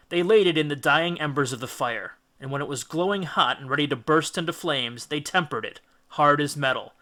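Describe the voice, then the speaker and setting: Forceful male storybook narrator. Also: mid-range voice. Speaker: audiobook reader. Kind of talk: dramatic scripted storytelling narration.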